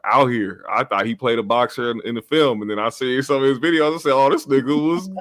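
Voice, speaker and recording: A man's voice: mid-range voice, a little fast, a bit bright. A man in his thirties co-hosting a podcast, talking in a laid-back, profane way and laughing through his stories. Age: 30s. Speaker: podcast co-host. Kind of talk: casual chat.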